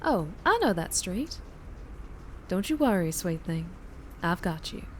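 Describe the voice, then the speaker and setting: Slow Southern vampire biker woman, acted out by a woman. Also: fairly low pitch, very animated, a little hiss or hum. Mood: concerned, protective. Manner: teasing, protective, drawling. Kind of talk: intimate ASMR roleplay acting.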